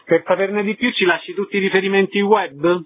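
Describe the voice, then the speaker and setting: A man's voice: high-pitched, steady tone, a little fast, no echo. A man working as a radio interviewer, putting prepared questions and formal sign-offs to a guest. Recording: thin phone-like radio sound.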